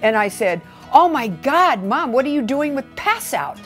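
A woman's voice: sits high, moderate pace, soft background music. An American woman in her sixties telling a personal anecdote in an interview, acting out the dialogue. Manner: animated storyteller, quoting dialogue. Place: furnished living room. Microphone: lapel microphone.

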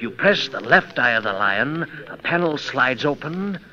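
An actor playing a boastful, scheming French ex-criminal turned detective in theatrical 1930s radio style, sprinkling in French exclamations. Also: male voice, medium pitch, sing-song, unhurried, bright, forceful tone. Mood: conspiratorial. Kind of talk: 1930s radio drama acting. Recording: dull 1930s radio recording.

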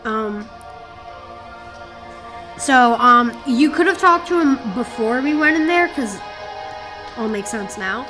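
Woman doing a chill game walkthrough, kinda high voice, takes her time, restarts a lot. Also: lively intonation, slow pace, long pauses, rough recording. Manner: rambling, self-correcting, instructive. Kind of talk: casual game walkthrough commentary. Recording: game music playing behind the voice.

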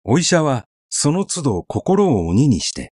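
A man narrating a literary story in a measured, conversational, expressive way, as if telling it to someone. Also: medium pitch, husky, no echo, studio quality.

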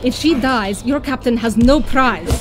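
A woman playing a brisk, brave and scornful professor in a space adventure radio play, articulate and commanding. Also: fairly high pitch, natural voice. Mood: urgent, firm. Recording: music under the voice.

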